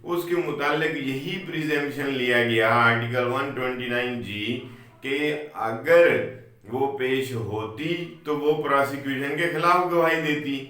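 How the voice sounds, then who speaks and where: A man with a Pakistani accent gives an explanatory legal lecture, speaking deliberately, repeating key points and mixing in English legal terms. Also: mid-range voice, sharp tone. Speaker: law lecturer. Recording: very echoey room.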